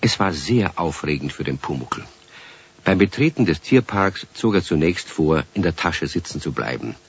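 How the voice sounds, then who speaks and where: Deep husky male storyteller. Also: expressive, moderate pace. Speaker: narrator. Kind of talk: scripted children's story narration. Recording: dull vintage radio recording.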